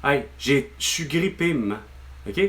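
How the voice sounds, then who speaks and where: A man's voice: medium pitch, lively intonation, a little slow, background noise. A man with a Québécois accent, venting to his mother on a phone call, rambling and exasperated, swearing often. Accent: Québécois French. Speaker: vlogger.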